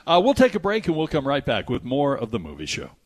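A man's voice: medium pitch, huge pitch swings, fast talker, slightly rough. An American man hosting a radio movie show, chatting casually and enthusiastically, with some rambling and live ad reads.

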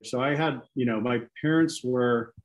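Relaxed man, halting and easygoing. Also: mid-range voice. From Seattle. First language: Greek. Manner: relaxed, halting, many restarts and fillers. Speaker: interview guest. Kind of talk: casual podcast interview.

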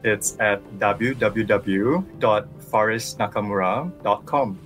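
Singaporean sculptor on a phone line. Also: male voice, on the low side, a bit bright, soft background music. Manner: thoughtful, measured explanations. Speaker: visual artist and sculptor guest. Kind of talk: phone-in radio interview.

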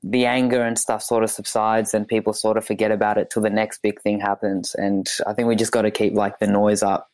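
A man's voice: on the low side, level tone, darkish tone, dry recording. A man in his twenties, born in Ethiopia and raised in Australia, talking easily as a guest in a casual interview with plenty of fillers. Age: 20s. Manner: easygoing, halting, many fillers. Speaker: guest, comedy sketch maker.

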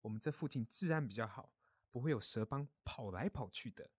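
A man voicing a kindly grown-up mole in a children's audio story, gentle, caring and reassuring. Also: medium pitch, animated, brisk, slightly rough, no echo, clean studio sound. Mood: hospitable.

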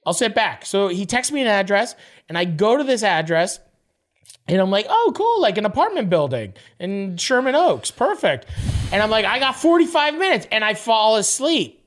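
A man's voice: high voice, moderate pace. A young man co-hosting a podcast, telling a long rambling story in casual chat, repeating words and jumping to quoted voices. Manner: animated, rambling, repeats words and restarts, swears. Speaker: podcast co-host.

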